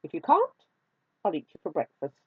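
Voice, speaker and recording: A woman voicing a teasing, haughty male kitten in a children's storybook, scornful and quick to get indignant. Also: low voice, wildly swooping pitch, slightly rough, no room sound, full character voice. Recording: tinny, phone-like narrow band.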